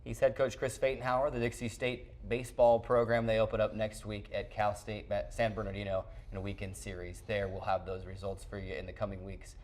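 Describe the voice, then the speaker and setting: Low-voiced male sports show host. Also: flat delivery, a little fast, hardly any pauses, darkish tone. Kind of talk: sportscast interview and presenting.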